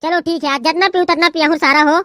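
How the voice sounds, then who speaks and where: A man's voice: very high-pitched, steady tone, fast, noisy background. A man voicing a hot-headed young village man in a comedy cartoon, blustering and trading insults with a Chhattisgarhi accent. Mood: teasing, boastful. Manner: blustering, insult-slinging banter. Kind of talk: comic cartoon dialogue. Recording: music bed under the dialogue.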